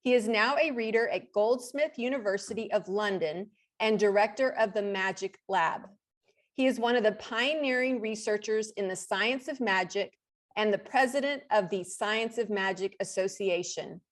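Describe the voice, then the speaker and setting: A woman's voice: mid-range voice, moderate pace. A woman hosting an interview on her online channel, upbeat, with brief affirmations. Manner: upbeat, reading the guest bio, brief affirmations. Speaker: host.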